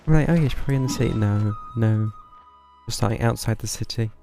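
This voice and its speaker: A young man streaming a game, commenting casually in a low-key, musing way. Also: brisk, gravelly, mellow. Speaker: live streamer. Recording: game music behind the voice.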